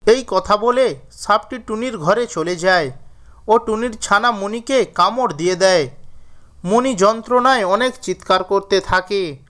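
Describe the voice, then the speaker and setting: A male narrator with a high-pitched voice reads a scripted children's story. He delivers it as storytelling narration. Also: measured pace, fairly bright tone.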